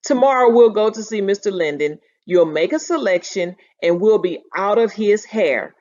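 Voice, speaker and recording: A woman's voice: mid-range voice, animated, normal speed, a little mellow, natural voice. A woman voicing a teasing, affectionate mother in a storybook with a wry, knowing manner, in a dull lo-fi recording. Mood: lightly teasing. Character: teasing, affectionate mother who likes books. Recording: dull lo-fi sound.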